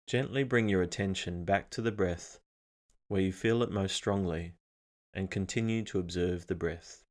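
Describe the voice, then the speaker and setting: A man leading a scripted guided meditation in a calm, measured, instructional manner. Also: deep voice.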